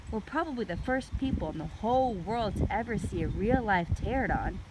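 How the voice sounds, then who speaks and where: A woman's voice: medium pitch, lively intonation, fairly quick, noisy background, close to an everyday voice. A woman voicing a cautious, studious young boy in a children's storybook, doubtful and exasperated.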